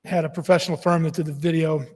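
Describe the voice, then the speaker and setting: Man, high and husky, casual stage talk in a reverberant hall. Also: fairly even intonation, quick pace, darkish tone. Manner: chatty, testing sound with audience. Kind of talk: casual live event talk.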